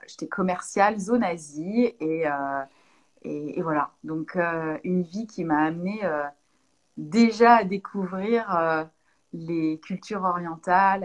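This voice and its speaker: A French woman from Lyon in her thirties, a naturopath answering interview questions in a thoughtful way, with pauses and restarts. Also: lowish voice. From Lyon, France. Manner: thoughtful, many hesitations and restarts.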